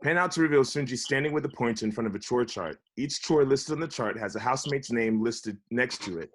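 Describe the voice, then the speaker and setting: A man's voice: mid-range voice, fairly quick. A man with a mid-range voice reads scripted stage directions. He serves as narrator of a table read. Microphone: video call.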